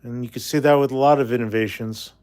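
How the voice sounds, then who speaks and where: A man's voice: mid-range voice, steady tone, fast talker, a little mellow. A man in his forties from Canada, chatting casually on a livestream, reading viewer comments aloud and rambling bluntly. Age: 40s. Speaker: livestream host.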